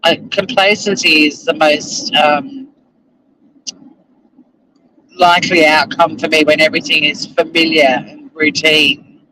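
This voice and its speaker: A man's voice: a little high, fairly even intonation, measured pace, pauses often, bright, forceful tone, slight background noise, poor audio. A middle-aged Australian man vlogging casually from inside his car as he drives, rambling and self-deprecating, with casual swearing. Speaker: vlogger and lawyer. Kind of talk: casual chat to camera while driving. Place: inside a car while driving.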